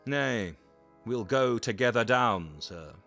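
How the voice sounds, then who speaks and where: An actor playing an imperious, menacing duke in a period audio drama, speaking with firm, formal courtesy. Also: male voice, mid-range voice, expressive, takes it slowly, fairly bright tone, soft background music.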